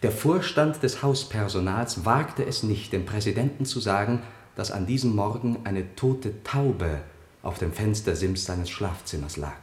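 An actor playing a truth-telling servant and diarist in a German radio play, formal and deliberate with quiet irony. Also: male voice, fairly low pitch, expressive. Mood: insistent, ironic. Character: politely insolent male servant, the ruler's truth-telling diarist. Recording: roomy, echoing studio sound.

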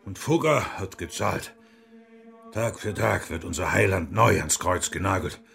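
An actor in a German radio play, playing a boisterous, defiant monk reformer with a hearty, coarse, mocking manner. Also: male voice, sits low, lively intonation, measured pace, vocal fry, a bit bright, heavily acted. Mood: scornful.

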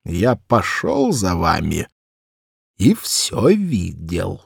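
A man voicing a gloating little boy who teases in a drawn-out, sing-song way as he threatens to tell tales. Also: deep voice, wildly swooping pitch, vocal fry, husky, dry recording, studio quality, exaggerated voice. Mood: gloating, teasing. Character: gloating, teasing little boy tattling on his governess.

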